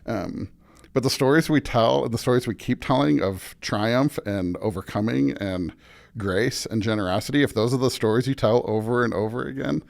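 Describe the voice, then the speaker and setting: A man's voice: mid-range voice, normal speed, creaky. An American man, a pastor being interviewed, talking casually and thoughtfully as he searches for words. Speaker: pastor, podcast guest.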